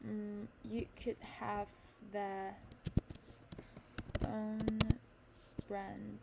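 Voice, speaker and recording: A woman's voice: mid-range voice, monotone, extremely slow, gravelly, warm tone, a little hiss or hum. A young Chinese woman tutoring English in a learned British accent, reading an article aloud and then explaining it with fillers and restarts.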